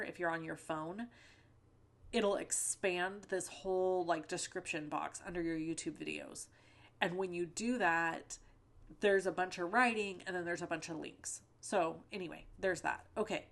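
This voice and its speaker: A woman's voice: on the low side, a bit bright. A middle-aged woman hosting a card-making video, talking in a rambling, conversational way with lots of fillers and asides, her voice a little stuffy from a lingering cold. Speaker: card-making channel host. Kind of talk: chatty crafting tutorial voice-over with personal stories. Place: at home.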